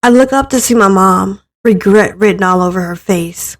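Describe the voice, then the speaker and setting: A woman's voice: medium pitch, normal speed. Woman narrating a dramatic story. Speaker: narrator of a visual novel. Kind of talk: scripted first-person dramatic story narration.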